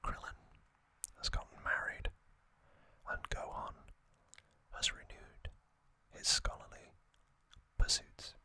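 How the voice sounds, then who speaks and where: A host speaks entirely in a soft, unvoiced ASMR whisper, taking a slow, unhurried pace. The tone is thin and bright, with little rise and fall, in a gentle, measured storytelling manner. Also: no echo.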